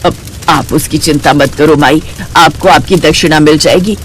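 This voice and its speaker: Lady doing a bossy scheming cartoon stepmom, lowish voice, sly and coaxing. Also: normal speed, soft background music, lightly acted. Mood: conniving. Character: scheming, contemptuous middle-aged stepmother. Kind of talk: Hindi cartoon story character acting.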